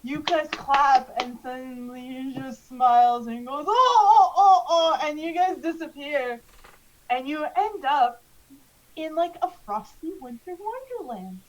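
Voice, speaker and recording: A woman's voice: high-pitched, a little slow, muffled recording, slight background noise. A young woman narrating as game master in a tabletop game, hesitant with many fillers.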